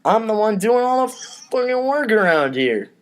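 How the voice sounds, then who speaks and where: A man's voice: high-pitched, big pitch swings, normal speed, voice pushed up. A man playing a petty, sore mobster in a comedy script, whiny and indignant. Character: petty, sore 1930s mobster squabbling over money.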